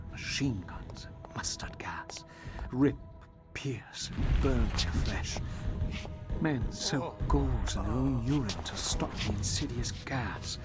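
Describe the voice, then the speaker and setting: A man playing a weary, haunted young war journalist in a video game, with a somber, hushed and deliberate delivery. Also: on the low side, close to an everyday voice. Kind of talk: scripted dramatic game monologue.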